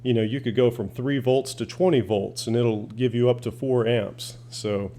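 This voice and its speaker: A man's voice: mid-range voice, steady tone, normal speed, dark tone. A man giving a casual, plain-spoken, rambling product demonstration as a hobbyist reviewer.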